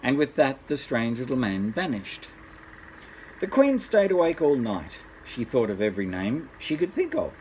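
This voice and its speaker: A man's voice: medium pitch, sing-song, moderate pace, a little mellow, a little hiss or hum, rough recording. An older man, a grandfather, reads a bedtime story aloud expressively, addressing small children.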